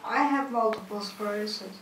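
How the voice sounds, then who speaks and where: A woman in her sixties, speaking slowly and haltingly in a weak, quiet voice into a handheld mic in an auditorium. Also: medium pitch, distant mic. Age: 60s. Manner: slow, halting, effortful speech. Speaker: audience member. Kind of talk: personal statement at a forum. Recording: echoey hall, faint noise.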